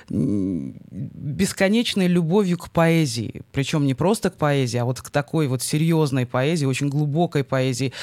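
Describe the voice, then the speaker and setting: A young adult woman hosting a live radio talk show, greeting listeners with energy and pausing on fillers. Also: deep voice, darkish tone, no room sound.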